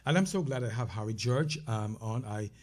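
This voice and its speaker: A man's voice: mid-range voice, moderate pace, a crowd in the background. A man with a Caribbean accent co-hosting a casual health talk show, speaking conversationally with many fillers and restarts. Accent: Caribbean English.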